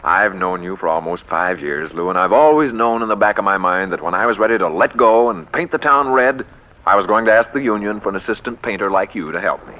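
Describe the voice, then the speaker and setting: Brash American publisher, sardonic and insistent, forceful bright voice, vintage radio romantic comedy. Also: male voice, lowish voice, animated, a little fast. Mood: breezy wooing. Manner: wisecracking, insistent, faltering when flustered. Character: brash, sardonic, lovesick book publisher, a man. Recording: muffled, noisy 1940s radio recording.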